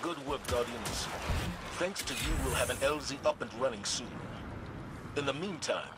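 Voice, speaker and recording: A man's voice: medium pitch, animated, heavy background noise, natural voice. Man playing a grave, resolute military commander. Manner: measured, authoritative, grave. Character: stern, resolute male military commander rallying his troops. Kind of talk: video game voice acting, solemn military briefing.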